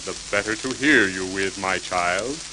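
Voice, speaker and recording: A man voicing a hungry, scheming wolf in a loud, coaxing, drawn-out way, on an old 78 rpm record. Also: sits low, voice pushed down. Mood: sly. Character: big sly wolf, male, hungry and scheming. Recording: scratchy 1940s 78 rpm record, loud surface hiss.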